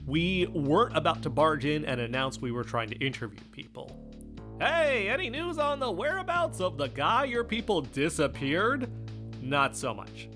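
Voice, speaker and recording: A woman's voice: low-pitched, big pitch swings, moderate pace, a bit bright, faint music behind. A woman playing an easygoing young amateur investigator on a fiction podcast, casual and wry. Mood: wry, confiding.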